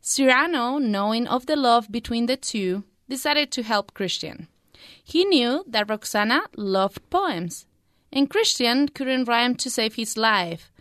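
A woman's voice: on the high side, expressive, a little slow, fairly bright tone, dry recording, clean studio sound. A woman in her twenties, a native Spanish speaker and student, giving a prepared book review. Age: 20s.

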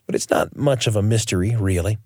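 A man hosting a radio show, telling stories in a reflective, scripted narration with deliberate phrasing. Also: on the low side, vocal fry, a little mellow, dry recording, studio quality. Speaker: radio show host and storyteller. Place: radio studio.

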